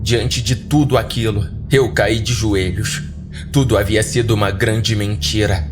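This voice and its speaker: A Brazilian man tells a scripted horror story in the first person. His voice sits on the low side and his delivery is dramatic and deliberate, with music playing clearly underneath. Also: steady tone. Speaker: horror podcast narrator. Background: background music.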